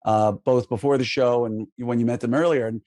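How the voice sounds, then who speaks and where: A man's voice: mid-range voice, fairly even intonation, fairly quick, a little mellow, dry recording, clean studio sound. A man in his fifties with a faded New York accent, hosting a podcast in a joking, friendly way. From Queens and Long Island, New York. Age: 50s. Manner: friendly, joking, laughs often, many fillers. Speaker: podcast host. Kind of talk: casual podcast interview.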